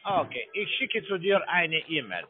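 A man who is not a native German speaker, phoning from a call center, speaking halting broken German and repeating words. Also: a little high, sing-song. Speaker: scam call center caller. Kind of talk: phone call. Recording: tinny phone line.

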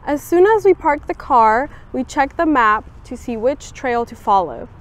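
Young woman, higher voice, expressive picture-book read-aloud, outdoor camera recording. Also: a little slow. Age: young adult. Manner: expressive storybook reading with lively voiced quotes. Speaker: student volunteer reader. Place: outdoors on a campus bench.

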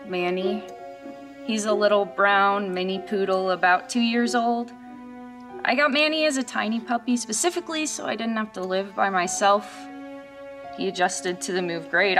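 A woman's voice: medium pitch, natural voice. A woman playing an uneasy, skeptical young woman in a horror audio drama. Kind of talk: dramatic first-person storytelling and dialogue. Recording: music under the voice.

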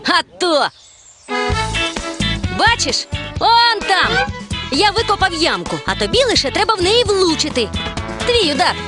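A woman voicing a lively, cocky young boy fruit character in a cartoon, boastful and bossy. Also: very high voice, a little slow, long pauses, full character voice. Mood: eager. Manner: boastful, bossy know-it-all. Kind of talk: animated cartoon dialogue. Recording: loud music behind.

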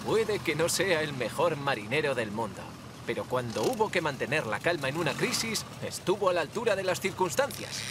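A man's voice: fairly high pitch, big pitch swings, brisk, background noise. An actor playing a good-natured fisherman in a children's cartoon. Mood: appreciative. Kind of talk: animated children's series dub. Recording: music behind.

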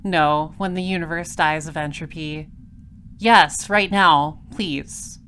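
A woman's voice: deep voice, fairly even intonation, pushed and bright, exaggerated voice. Actress as shrewd lady space detective, dry n sardonic, takes her time, audio drama, bit of noise behind. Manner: deliberate, explanatory, sardonic.

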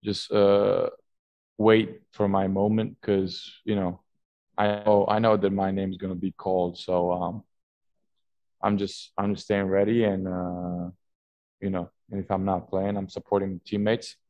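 A young man answers press questions after a game in a low voice, stumbling through restarts and fillers. The sound is dry and close on a table mic. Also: level tone, dark tone, no room sound, muffled recording. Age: young adult. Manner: halting, many fillers and restarts. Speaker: basketball player at postgame press conference. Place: team media room, indoors. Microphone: table mic on stand.

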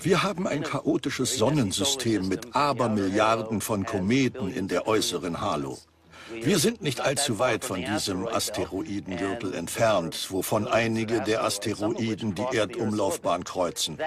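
Actor as an earnest scientist, murmuring voices behind. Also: male voice, lowish voice, animated, moderate pace, a crowd in the background, lightly acted. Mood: earnest, explanatory. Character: earnest male scientist warning of cosmic threats. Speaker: scientist interviewed in a documentary. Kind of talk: dubbed documentary expert explanation.

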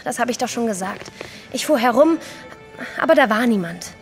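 A woman's voice: on the high side, lively intonation, normal speed, soft background music. An actress playing a frightened, indignant young woman in an audio drama, stammering hesitantly at times and speaking emphatically. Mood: uneasy. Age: young adult.

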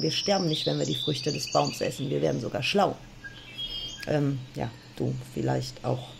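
A bossy, mocking young-world Eve type in a comic radio play, acted by a woman. Her voice sits on the low side with a gravelly creak. She teases and needles, over faint birdy background noise. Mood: bossy, persuasive. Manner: sassy, teasing. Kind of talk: comedic audio drama dialogue.

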